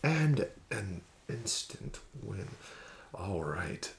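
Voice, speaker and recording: A man doing an ASMR roleplay as a casino card dealer, talking slowly and softly with smug, teasing patter that slips into whispers. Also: lowish voice, very animated, creaky. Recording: audible background noise.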